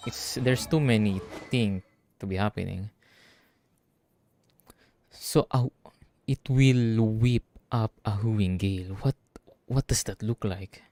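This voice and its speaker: A man's voice: on the low side, takes it slowly, long pauses, dark tone, dry recording. A man from the Philippines with a Filipino accent, thinking aloud in casual livestream game commentary. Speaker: streamer. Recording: game music behind the voice. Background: video game music.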